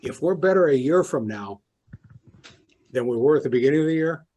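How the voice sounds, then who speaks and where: A man's voice: fairly high pitch, expressive, fairly quick, dark tone, husky. A man interviewing a club member, encouraging and affirming her answers. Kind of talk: conversational interview.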